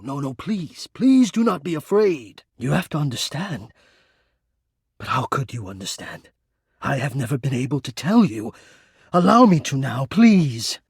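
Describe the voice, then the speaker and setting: A lovestruck male spirit of the air ducts for a romance video game. His voice is extremely soft-edged and husky, at a middling male pitch, in a dry, intimate recording. He speaks in ornate, earnest, hesitant phrases, like a shy and ardent servant devoted to one person. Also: very animated, a little slow, dry recording, heavily acted. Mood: pleading, desperate.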